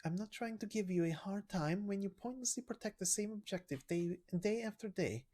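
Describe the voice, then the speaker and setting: A woman's voice: mid-range voice, animated, normal speed, warm tone, lightly acted. A woman voicing a war-weary comrade in a game story, speaking earnestly and cautioning firmly. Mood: grave warning.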